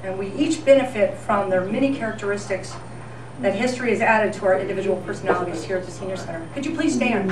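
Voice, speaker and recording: A woman's voice: mid-range voice, fast talker, crowd noise. A woman giving an informal thank-you speech as the director at a community event. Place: large indoor room with an audience, voice from far off. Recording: echoey crowded hall, distant mic.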